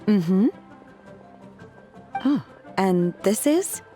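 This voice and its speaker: A woman voicing a calm, reserved young woman in a video game, composed and matter-of-fact. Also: mid-range voice, extremely slow, over music.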